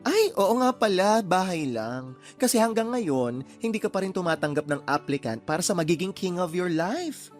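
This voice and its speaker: Woman playing a low, swooping, campy best friend. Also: lively intonation, normal speed, faint music behind, close to an everyday voice. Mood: teasing. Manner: chatty, playful, exaggerated. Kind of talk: Tagalog radio drama acting.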